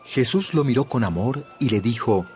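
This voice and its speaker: A man narrating a dramatized Bible story in a scripted, measured storytelling manner. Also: mid-range voice, expressive, darkish tone, faint music behind.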